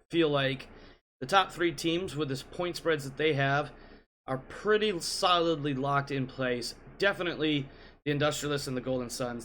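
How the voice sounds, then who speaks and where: A man's voice: a little high, a bit bright, a little hiss or hum. A man hosting a game league show from his home computer, talking casually with playful asides and jokes. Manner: joking, rambling, playful asides. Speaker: league host. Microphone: computer mic at home desk.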